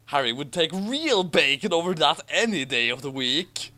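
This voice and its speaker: Animated, higher-voiced man reading aloud. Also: moderate pace. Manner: expressive, sardonic, often breaks off to comment. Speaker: reader of a story with commentary. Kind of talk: story narration with mocking asides.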